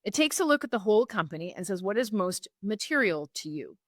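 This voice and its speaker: A woman's voice: mid-range voice, very animated, a little fast, dry recording, studio quality. A woman guest on an interview podcast, a sustainability consultant explaining her ideas step by step in a reflective, conversational way.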